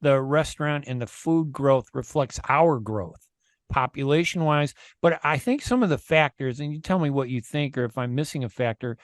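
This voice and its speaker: A chatty male radio host talks into a close studio mic that leaves his voice dry and free of room sound. His pitch sits in a middle range for a man, and he thinks aloud with frequent fillers. He leans into his opinions as he talks. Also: normal speed, no room sound. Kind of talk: casual radio conversation.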